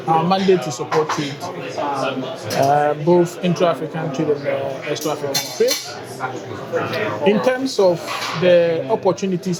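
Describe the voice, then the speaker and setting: A man from Ghana with a Ghanaian accent, heading a bank's guarantees unit and answering interview questions in a measured way with frequent hesitations. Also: a little high. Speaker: bank guarantees unit head. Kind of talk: conference interview. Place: busy trade conference floor. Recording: loud crowd behind.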